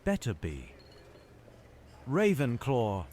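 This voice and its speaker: An actor voicing an ancient, knowing magic hat in an audio drama, musing theatrically. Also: male voice, medium pitch, huge pitch swings, slow, very noisy.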